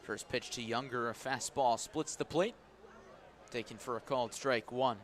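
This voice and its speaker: A man doing live baseball play-by-play on the radio, mixing clipped pitch calls with conversational asides. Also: moderate pace. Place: outdoor baseball stadium. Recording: clear outdoor stadium ambient noise. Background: outdoor ballpark ambience.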